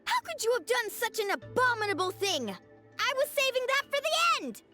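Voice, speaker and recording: Very high, animated young game girl. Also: normal speed, exaggerated voice. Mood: mock outrage. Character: bubbly young girl putting on flustered, overblown outrage. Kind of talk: anime-style game voice acting. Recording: background music behind the voice.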